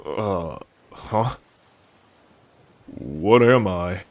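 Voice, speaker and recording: A man acts out a wary merman in an intimate ASMR roleplay. His voice sits on the low side and he speaks very slowly. He is curious and hesitant, questioning the human he addresses. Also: lively intonation, full character voice. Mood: cautious relief. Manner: slow, hesitant, questioning delivery. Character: wary, curious young merman, gruff rescuer.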